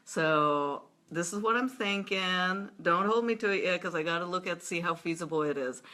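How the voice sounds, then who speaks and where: A woman hosting a casual live video chat, playful and teasing, with quick asides. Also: on the low side, sharp tone. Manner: slow breathy whisper when demonstrating a seductive voice. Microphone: webcam or laptop mic on a live stream.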